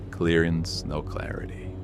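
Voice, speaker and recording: A guarded corporate insider acted by a man in a horror audio drama. His voice is deep and slow, and he talks haltingly, with an affable but careful manner. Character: guarded, affable male corporate colleague keeping secrets.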